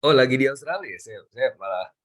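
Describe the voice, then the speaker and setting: A man's voice: mid-range voice, animated, moderate pace, a crowd in the background. A man casually moderating questions at a guest lecture as the host lecturer.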